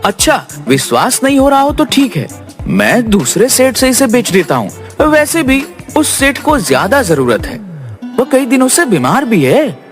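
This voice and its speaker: An actor playing a cunning, boastful young farmer's son in a cartoon story, with exclamatory, persuasive delivery. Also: male voice, extremely high pitch, big pitch swings, moderate pace, faint music behind. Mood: sly, persuasive. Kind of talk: Hindi cartoon story dialogue.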